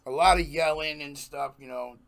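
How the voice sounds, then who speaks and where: A young man hosting a reaction video in casual slang, his voice hoarse, talking at a small home studio desk mic. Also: fairly high pitch, moderate pace, a bit bright. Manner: slangy, laid-back, laughs often. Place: small home studio room with jerseys on wall. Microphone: large desk microphone in front.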